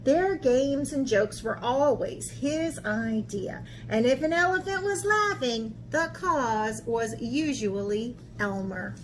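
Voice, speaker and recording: Woman art teacher for kids' storytime, high voice, unhurried animated delivery, slightly noisy recording. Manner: animated, asks listeners questions. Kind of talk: children's picture-book read-aloud.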